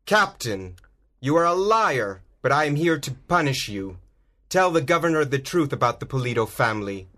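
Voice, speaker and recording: A man reading a storybook aloud, voicing a bold, righteous masked hero in slow, simple lines. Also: medium pitch, fairly bright tone, voice pushed up, lightly acted. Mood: stern. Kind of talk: graded reader audiobook.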